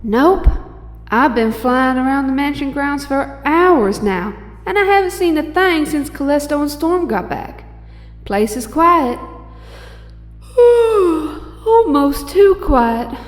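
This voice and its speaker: A breezy young Southern belle superheroine in an audio drama. Her voice is high and echoes in a long reverb, folksy and kind, with music beneath. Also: expressive, slow. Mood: casual. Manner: folksy, easygoing.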